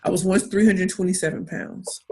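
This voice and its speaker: A woman with a St. Louis accent coaching a workshop on pitching, speaking emphatically and repeating key phrases for punch. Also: steady tone, moderate pace, vocal fry, warm tone. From St. Louis, Missouri. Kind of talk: motivational workshop talk.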